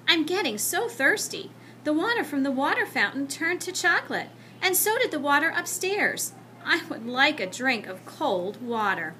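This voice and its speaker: A woman's voice: high voice, very animated, moderate pace, sharp tone, a little hiss or hum, pitched above the performer's own voice, full character voice. A woman voicing an earnest, aggrieved schoolboy in a children's storybook, with a pleading, protesting manner. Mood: earnest, bewildered. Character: earnest, aggrieved schoolboy who turns things to chocolate.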